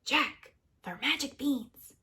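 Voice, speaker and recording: A woman's voice: high-pitched, animated, slow pace, pushed and bright, voice pushed up, full character voice. A woman voicing a bossy, exasperated storybook narrator who firmly scolds the hero. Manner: firm, emphatic, insistent. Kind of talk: children's storybook read-aloud.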